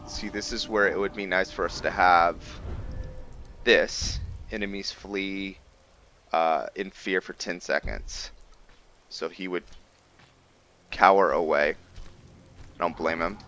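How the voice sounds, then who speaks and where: A man giving casual roleplay game commentary, thinking aloud in a halting way and trailing off mid-sentence. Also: low-pitched, fairly even intonation, pushed and bright, slight background noise. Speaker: gaming video host.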